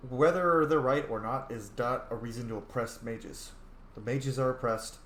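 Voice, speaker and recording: A man's voice: mid-range voice, normal speed, fairly bright tone. A young man playing a video game and commenting casually in a chatty, opinionated way, often talking over the game. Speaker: player and commentator. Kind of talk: casual let's play commentary. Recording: echoey room with faint noise.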